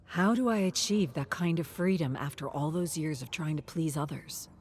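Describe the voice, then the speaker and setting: A woman's voice: low-pitched, moderate pace, darkish tone, slight background noise, natural voice. A woman voicing a frustrated, self-doubting woman writer, exasperated and introspective, in a dramatic audio story. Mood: quiet, searching.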